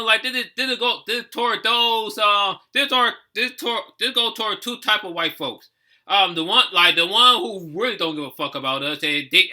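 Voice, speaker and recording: Give this voice to a man in his thirties from the United States. It is high and very sharp in tone. He delivers casual, impassioned commentary as a video host, repeating phrases and swearing bluntly. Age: 30s. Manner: repetitive, outspoken, frequent swearing.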